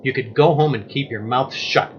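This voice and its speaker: A man's voice: medium pitch, moderate pace, highs cut off, soft background music, lightly acted. A man playing a sardonic, exasperated husband standing up to suspicious neighbors in a radio play. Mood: dry, weary. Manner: biting, sarcastic, exasperated. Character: sardonic, indignant husband defying a suspicious mob.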